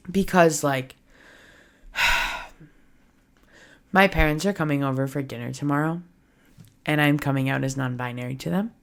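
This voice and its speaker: A woman in her early twenties hosting a solo podcast, talking candidly and casually with frequent laughs and lots of "like" fillers. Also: lowish voice. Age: early 20s. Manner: chatty, candid, laughs often, many "like" fillers. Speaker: podcast host.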